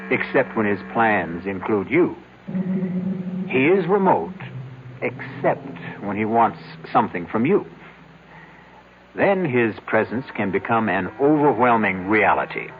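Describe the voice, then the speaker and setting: An ominous storyteller for a radio mystery. His low voice moves slowly and rhetorically, savoring each phrase. It comes through a tinny old radio broadcast with faint hum. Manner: deliberate, portentous, rhetorical. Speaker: host and narrator of a radio mystery series. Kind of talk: dramatic scripted radio narration.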